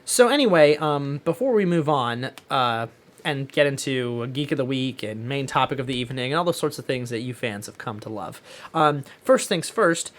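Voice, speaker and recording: A man's voice: medium pitch, lively intonation, normal speed. A man co-hosting a podcast, chatting casually about pop culture in an opinionated, emphatic way. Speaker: podcast co-host.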